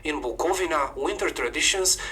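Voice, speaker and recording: Romanian-accented man, mythology narrator, bright and slightly high voice, upbeat scripted read. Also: a little fast.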